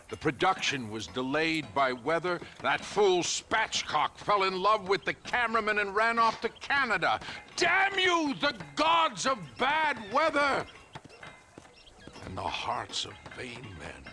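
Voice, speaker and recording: Game casting: self-pitying theatrical producer, slow declamation, sharp tone, sweeping pitch. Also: male voice, a little hiss or hum, full character voice. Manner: melodramatic, declamatory. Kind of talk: video game cutscene acting.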